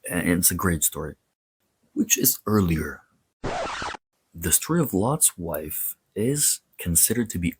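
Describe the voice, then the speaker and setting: A man presenting a scripted history and mythology lecture, explaining in short, paused phrases with casual asides. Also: low-pitched. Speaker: host of a history and mythology series.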